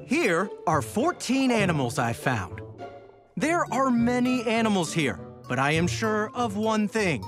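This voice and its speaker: A woman's voice: medium pitch, very animated, a little slow. A woman narrating a children's storybook read-aloud in a playful, emphatic storytelling manner. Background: music under the narration.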